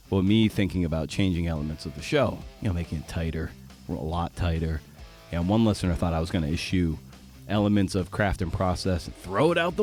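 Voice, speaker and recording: A man's voice: low voice, a little mellow. A man hosting a podcast in an informal, rambling, conversational way. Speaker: podcast host.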